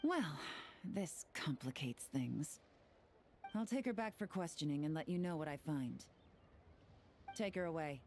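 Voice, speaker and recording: An actress voicing a cool, businesslike investigator in a video game, controlled and authoritative. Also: female voice, sits low, animated, unhurried, pauses often, darkish tone, a little hiss or hum. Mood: accusing, businesslike. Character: cool, businesslike female investigator, wary and firm.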